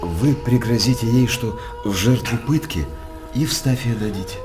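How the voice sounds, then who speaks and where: An actor playing a sly, envious royal counsellor in a theatrical radio play, with an insinuating, mock-deferential manner. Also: male voice, medium pitch, normal speed, close to an everyday voice. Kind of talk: theatrical verse radio drama acting. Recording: music behind the voice.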